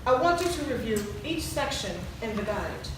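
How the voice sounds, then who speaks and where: A woman playing a composed relationship counsellor in a stage play, measured, probing and professional. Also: a little high, expressive, lightly acted. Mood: composed, instructive. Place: large echoey indoor stage venue. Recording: very echoey, distant, background noise.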